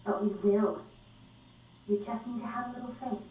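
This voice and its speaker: A woman's voice: fairly high pitch, steady tone, normal speed, warm tone, close to an everyday voice. An actress playing a principled, defiant female scientist who stands her ground in a video game cutscene. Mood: pleading, firm. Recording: very echoey, distant, faint noise.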